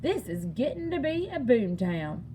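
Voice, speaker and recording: Woman reading as a courteous, lively banker. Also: a little high, a little slow, slight background noise. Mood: proud. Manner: polite, approving. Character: courteous, approving small-town banker man. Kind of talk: storybook character dialogue.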